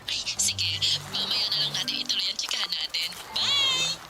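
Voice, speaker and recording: A gossipy, expressive Filipino woman plays the nosy best friend. Her pitch moves animatedly, and loud music runs underneath. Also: normal speed. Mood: gossipy, reflective. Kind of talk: Filipino radio drama acting.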